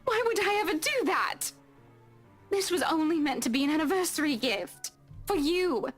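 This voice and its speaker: Young woman, extremely high pitch, pleading, distressed, game voice acting, faint music. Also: expressive, normal speed. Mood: hurt, pleading. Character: young wife and women pleading, distressed, trying to be reasonable. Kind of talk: visual novel voice acting.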